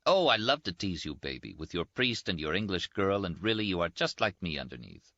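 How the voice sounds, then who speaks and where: A man voicing a brash, teasing young Italian army surgeon in an audiobook, quick and boastful. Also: deep voice, big pitch swings, bright, forceful tone, dry recording, lo-fi sound, full character voice. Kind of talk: audiobook character voice.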